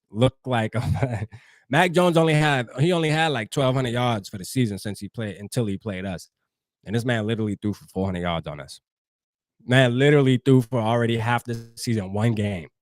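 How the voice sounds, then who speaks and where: A man's voice: mid-range voice, no room sound, clean studio sound. A man from New Jersey hosting a fan show, talking loosely and profanely straight to his live chat. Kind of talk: casual livestream sports talk.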